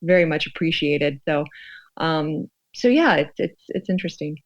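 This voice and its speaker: Female American expert guest, conversational and reflective, lower register, slightly dull recording. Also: animated, normal speed. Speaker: expert guest, sociologist researching fear. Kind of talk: conversational interview.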